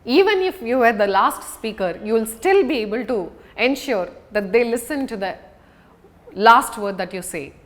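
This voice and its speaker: A woman teaches like a speaking coach, in a fairly high voice that moves widely up and down. She is deliberate and emphatic, pausing to stress key words as she explains things to viewers. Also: animated. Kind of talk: instructional lesson to viewers.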